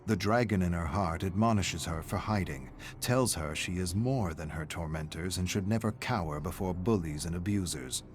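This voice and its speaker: A middle-aged man giving measured, theatrical scripted narration of a dramatic story. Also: sits low, a little hiss or hum.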